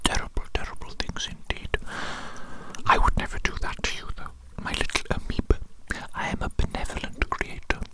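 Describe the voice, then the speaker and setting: A performer whispering an ASMR roleplay as a doting, rambling evil scientist, with breathy, repetitive, grandiose and formal phrasing. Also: moderate pace, lightly acted, poor audio. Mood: soothing, grandiose. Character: evil mad scientist doctor, doting, rambling, existentially brooding creator.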